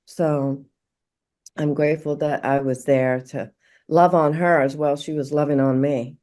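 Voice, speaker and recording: A woman from Morocco, a podcast guest, speaking warmly and reflectively, halting often with fillers and restarts. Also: deep voice, steady tone. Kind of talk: casual interview conversation.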